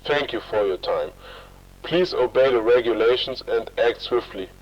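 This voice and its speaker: A solemn official played by a man delivers a formal emergency broadcast in measured, impersonal tones. The sound is muffled and set back from the microphone. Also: mid-range voice, distant mic, noisy background, natural voice. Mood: grave, official. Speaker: emergency bulletin announcer. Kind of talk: formal scripted emergency bulletin.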